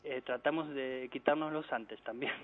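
A man from Argentina with a mixed Argentine and Spanish accent, an architect answering interview questions by phone and talking haltingly, with many fillers and restarts. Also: medium pitch. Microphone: telephone. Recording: tinny phone line with faint hiss.

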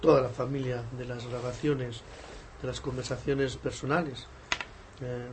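A man hosting a podcast interview, talking in a digressive, hesitant way with many fillers. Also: mid-range voice. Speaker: podcast host. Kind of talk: conversational interview. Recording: faint background noise.

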